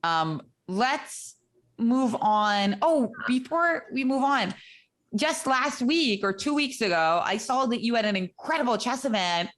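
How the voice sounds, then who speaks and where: A woman's voice: a little high, lively intonation, measured pace, fairly bright tone. A woman runs an online chess class from her end of a video call. She speaks in an encouraging, organizing way, praising the students and moving the session along. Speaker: chess club host.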